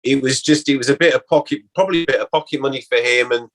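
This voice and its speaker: A man, an actor being interviewed, talking casually and reflectively, haltingly repeating words as he thinks aloud. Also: medium pitch, a bit bright.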